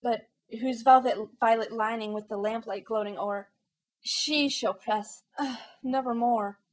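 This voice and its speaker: Higher-voiced woman reading poetry dramatically. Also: fairly even intonation, unhurried. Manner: theatrical, intense, shifting between hushed and impassioned. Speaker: amateur poetry reader.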